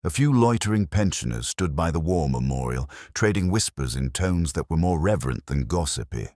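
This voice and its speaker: A man's voice: extremely low pitch, expressive, moderate pace, darkish tone, no echo, clean studio sound. A man reading a scripted mystery story as its narrator. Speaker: audiobook-style narrator.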